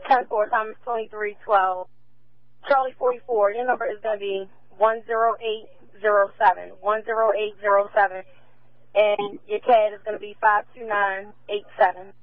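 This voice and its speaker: A woman's voice: mid-range voice, fairly even intonation, normal speed, no echo. A woman working as a police dispatcher, relaying calls procedurally over a two-way radio. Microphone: radio dispatch microphone, heard over scanner. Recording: tinny, narrow-band police scanner radio.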